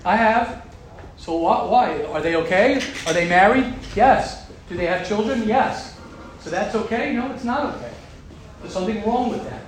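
A man teaching a group of young men, talking forcefully and repeating his points for emphasis. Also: sits high, animated, moderate pace. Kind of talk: emphatic religious talk to a group. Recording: very roomy echo with faint background hum.

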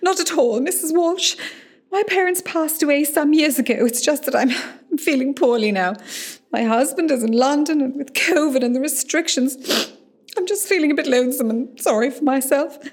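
A woman's voice: high voice, normal speed, lightly acted. A woman playing a polite, lonesome middle-aged actress in hospital, in a radio play. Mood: sad, lonesome. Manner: polite, modest. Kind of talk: amateur radio play acting.

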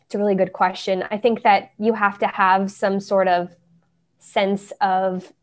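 A woman's voice: mid-range voice, flat delivery, measured pace. A young woman, a stage performer, answering interview questions in a chatty way, with frequent fillers and restarts. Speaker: guest, Broadway performer.

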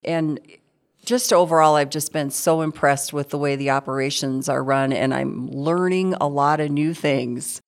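A woman in her sixties with a notably low-pitched voice, speaking in a friendly, chatty, upbeat way. She is from South Dakota and answers questions as a guest on a local interview podcast. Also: unhurried. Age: 60s. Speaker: city council member, guest. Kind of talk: conversational interview.

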